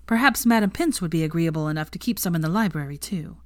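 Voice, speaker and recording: A woman's voice: lowish voice, fast talker, no echo, studio quality. A woman reading fan fiction aloud as scripted story narration.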